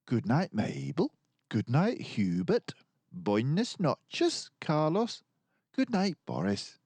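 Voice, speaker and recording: A man's voice: on the high side, huge pitch swings, slow pace, a little mellow, breathy edge, dry recording, pitched above the performer's own voice, full character voice. A man voicing a polite, prim female badger schoolteacher in a storybook, patient and coaxing. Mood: welcoming.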